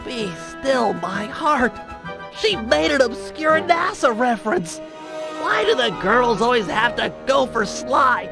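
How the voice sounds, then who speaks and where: Slow, nerdy cartoon turtle. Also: male voice, extremely high pitch, big pitch swings, creaky, music in the background, heavily acted. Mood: wistful, dejected. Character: nerdy, touchy, brainy young male turtle in a wheelchair. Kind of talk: cartoon video game voice acting.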